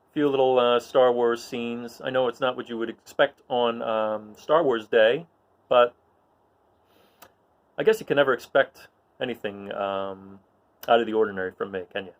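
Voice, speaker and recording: Guy hosting a read aloud, halting and casual, kinda self-deprecating, normal mid pitch. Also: lively intonation, muffled recording. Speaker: host reading aloud to viewers. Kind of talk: casual introductions to dramatic readings.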